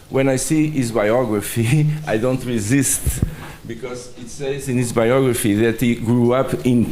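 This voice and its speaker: A Portuguese man moderating a public author talk, asking questions in halting English as he searches for words. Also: mid-range voice, darkish tone, slight background noise.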